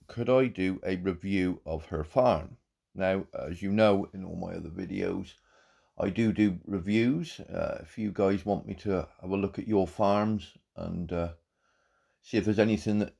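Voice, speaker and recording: A man, croaky from a cold, giving halting, warmly praising commentary on a farm game. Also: fairly low pitch. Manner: halting, repeats words, warm praise. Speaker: farm game channel host. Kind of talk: casual gameplay commentary.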